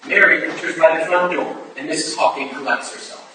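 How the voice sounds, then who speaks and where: A man's voice: a little high, fairly even intonation, brisk, bright, forceful tone. A man reading stage directions as scripted narration at a staged play reading, recorded from far off in a noisy, echoey hall.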